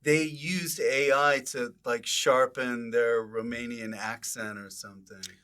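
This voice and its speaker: A man's voice: medium pitch, steady tone, measured pace, bright, forceful tone, clear, resonant tone. A man hosting a podcast in casual comedic conversation, with a bantering, irreverent way of talking. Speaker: podcast host.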